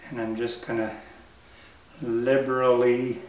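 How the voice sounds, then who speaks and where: An older man calmly explaining, step by step, as he builds a wooden kayak in his workshop. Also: medium pitch, takes it slowly, recorded from a distance. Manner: calm, matter-of-fact explaining while working. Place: workshop, indoors. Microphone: camera mic at a distance. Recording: echoey workshop, voice from off-mic.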